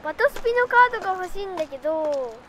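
A woman's voice: extremely high pitch, lively intonation, fast, a bit bright, slight background noise. A woman playing an eager nine-year-old boy in a radio drama, childlike and casual, wheedling his dad. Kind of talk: scripted radio drama dialogue.

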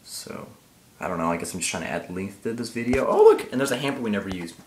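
A man's voice: fairly low pitch, expressive, quick pace, slight background noise. Teenage boy, casual vlog, rambling and jokey, handheld iPod mic, echoey bathroom. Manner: rambling, easily distracted, jokey. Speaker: gaming vlogger. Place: small tiled bathroom, indoors. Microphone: handheld iPod camera at arm's length.

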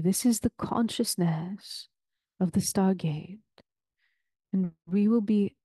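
A woman leading a guided meditation in a soothing, deliberate, ceremonial way, with long pauses between phrases. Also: mid-range voice, warm tone, dry recording.

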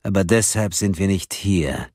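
A man voicing a cold, mocking anonymous male caller who talks slowly and with scorn. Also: deep voice, sing-song, gravelly, breathy edge, no room sound, studio quality, heavily acted. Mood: scornful. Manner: slow, sneering, drawn-out delivery.

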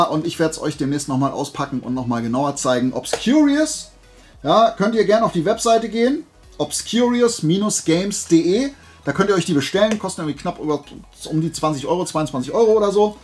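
Male vlog host, slightly high register, informal and rambling, thinks out loud, soft music underneath. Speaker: YouTube host. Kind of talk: casual haul vlog chat.

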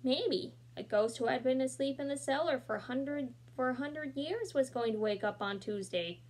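A young woman reads a children's picture book aloud into her laptop camera from a small room. Her voice sits a little high, and her reading is expressive, sprinkled with casual asides and small slips. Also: moderate pace.

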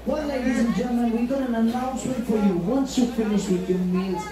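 An announcer making a courteous, formal public address to guests, heard over loudspeakers. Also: medium pitch, fairly even intonation, fairly quick. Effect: public address speaker. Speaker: ship announcer. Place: large cruise ship dining hall. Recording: echoey PA announcement from far off, crowd. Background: crowd chatter.

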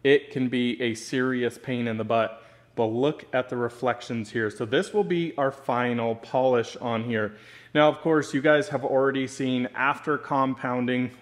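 A young man talks viewers through a car detailing job in casual vlog narration. He sounds enthusiastic and instructive at a mid pitch, addressing the audience directly.

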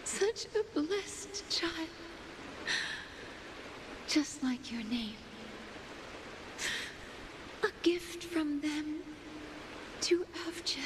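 An actress voicing a tender, grieving mother in a video game, speaking in a halting, breathy, reverent half-whisper. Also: high-pitched, lively intonation, background noise, full character voice. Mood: tender, solemn. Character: tender grieving mother blessing her newborn son. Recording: game audio with loud music.

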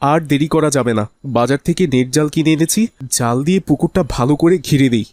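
A man's voice: a little high, fast talker, darkish tone, dry recording, natural voice. A man voicing a devout, friendly and trusting village fish farmer in a cartoon, speaking earnestly. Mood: pleased, resolved. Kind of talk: cartoon voice acting.

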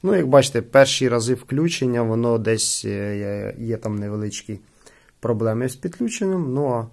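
Creaky-voiced man, casual reviewer. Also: mid-range voice, lively intonation, moderate pace, darkish tone. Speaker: product reviewer. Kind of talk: casual unboxing commentary.

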